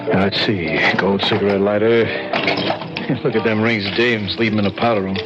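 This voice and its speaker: An actor playing a chatty, streetwise nightclub owner in an old-time radio mystery, genial but guarded, with glib colloquial talk. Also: male voice, fairly low pitch, fairly even intonation, moderate pace, over loud music, lightly acted. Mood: obliging. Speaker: bar proprietor. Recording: dull vintage radio drama recording.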